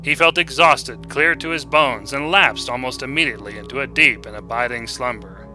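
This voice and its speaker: A man's voice: medium pitch, moderate pace, over music, rough recording. A man reading a short story aloud as dramatic scripted narration.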